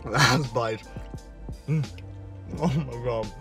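Excitable young Irish man eating in a car. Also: medium pitch, drawn-out words. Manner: excitable, exclaiming between bites, laughing often. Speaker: fitness vlogger. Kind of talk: casual food vlog. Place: parked car, and a kitchen at home. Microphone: camera held at arm's length. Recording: music behind. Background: music.

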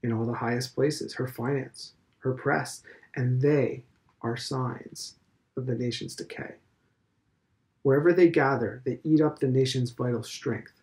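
A man voicing a stern, preachy elderly headmaster who lectures with slow, heavy emphasis. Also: mid-range voice, fairly even intonation, darkish tone, lightly acted. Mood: grave. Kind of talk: audiobook character reading.

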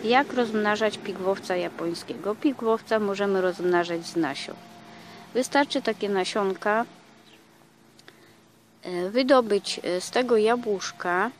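A woman giving a casual explanatory talk for her gardening vlog, pausing often with filler sounds, recorded outdoors on a camera mic. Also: medium pitch, slight background noise. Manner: hesitant, many filler sounds. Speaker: gardening vlogger.

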